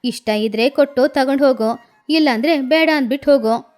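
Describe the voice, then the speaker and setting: A woman's voice: high voice, fairly quick, a little mellow, natural voice. Lady doing a bossy greedy mama crow, cartoon moneylender, music behind. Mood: grudging, bossy. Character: greedy, hard-hearted female crow moneylender, a mother. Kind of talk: children's cartoon story.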